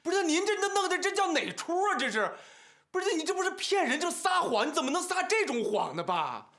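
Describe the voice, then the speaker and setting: A man's voice: very high-pitched, sing-song, brisk, fairly bright tone, heavily acted. An actor playing an exasperated, long-suffering younger brother in his thirties in a TV family drama, pleading in rhetorical questions. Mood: reproachful, dismayed. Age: 30s. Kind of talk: naturalistic TV drama acting. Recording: background music under dialogue.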